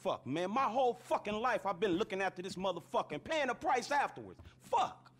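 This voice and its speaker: Exasperated young American street hustler, game cutscene, profane slang, high animated delivery, faint ambience. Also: male voice, brisk, slightly rough, exaggerated voice. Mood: frustrated. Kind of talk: video game cutscene acting.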